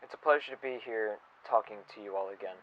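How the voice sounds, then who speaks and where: A man playing a reflective, wistful staff member recording an audio log, speaking in a halting, pensive and sincere way. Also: fairly low pitch, lightly acted. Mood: wistful, hesitant. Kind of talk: audio log monologue with storytelling. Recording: dull lo-fi recording with music. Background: music under the voice.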